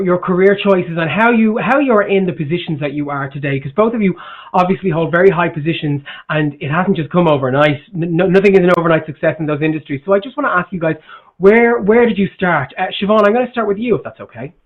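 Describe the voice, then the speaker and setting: A man's voice: high voice, fast talker, phone-quality sound. A man hosting a virtual conference panel from home, talking enthusiastically and repeating words for emphasis. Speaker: host. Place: home room. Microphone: earbuds on video call.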